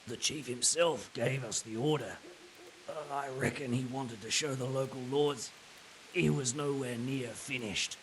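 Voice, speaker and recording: An actor playing a resigned, cynical captured mercenary in a video game drama, wry and matter-of-fact, with a gravelly voice. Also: male voice, medium pitch, a little slow, a bit bright, slight background noise, rough recording. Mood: cynical, frank.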